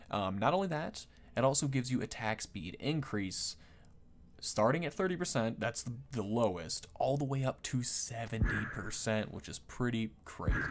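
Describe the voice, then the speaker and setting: A man hosting a gaming video, explaining things in a casual, unscripted, rambling conversational way. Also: fairly low pitch. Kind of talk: casual unscripted game commentary.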